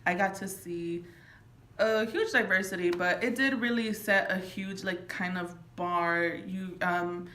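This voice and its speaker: Young female student from Florida, lowish flat voice, roomy echo. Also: a little slow. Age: young adult. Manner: reflective, frequent ums. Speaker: student interviewee. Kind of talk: casual interview answers. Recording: echoey room with faint noise.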